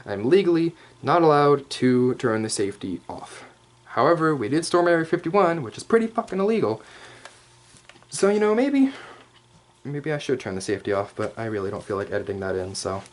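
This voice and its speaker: A man doing an improvised, casual ASMR roleplay show-and-tell, rambling with jokey asides and swearing. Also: mid-range voice. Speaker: ASMR roleplay host showing props.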